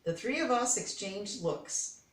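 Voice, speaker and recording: A woman's voice: mid-range voice, lively intonation, unhurried. Echoey, distant older American woman reading aloud. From Detroit, United States. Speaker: storybook reader. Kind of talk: read-aloud of a children's picture book with casual asides. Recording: roomy, echoey, distant microphone.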